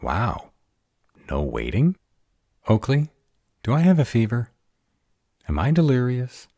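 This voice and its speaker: A man's voice: medium pitch, huge pitch swings, slow, mellow, dry recording, clean studio sound, heavily acted. An actor playing a sarcastic but protective male were-dragon in an audio roleplay, muttering sardonic asides. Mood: sarcastic surprise.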